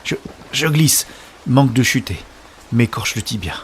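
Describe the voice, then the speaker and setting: Male actor for anxious fugitive in sci-fi audio drama; urgent first-person narration, clipped dramatic phrasing, medium pitch, clearly noisy background. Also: expressive, unhurried, close to an everyday voice. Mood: exhausted, determined. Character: anxious man racing through a riot to reach a woman.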